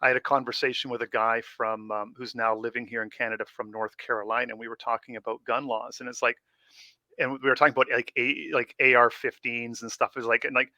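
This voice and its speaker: Guest, male former pastor, big carrying voice, bright and dry, rambling anecdotal delivery. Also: medium pitch, flat delivery, fast talker, no echo, dull audio. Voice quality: big voice that carries. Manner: rambling storyteller, heavy on "like" and "you know". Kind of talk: casual podcast conversation.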